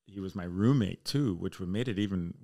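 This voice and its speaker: A man's voice: sits low, a little fast, gravelly, mellow. An American man chatting casually and candidly as a podcast guest, with slangy, bro-heavy speech. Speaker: podcast guest, military fire chief.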